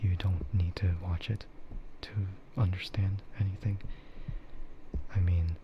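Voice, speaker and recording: Male ASMR streamer, soft whispery delivery, unhurried and rambling, muffled recording with clear background noise. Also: sits low, flat delivery. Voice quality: breathy, soft, mostly whispered. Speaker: streamer, VTuber. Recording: dull, muffled audio with loud ambient noise.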